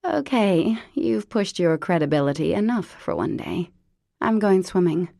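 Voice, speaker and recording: A wry, sarcastic young woman with a gravelly, lively voice, as a woman narrator plays her. Her delivery is dry and unhurried, recorded close and dry. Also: fairly low pitch, dry recording, full character voice. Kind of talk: audiobook character voice.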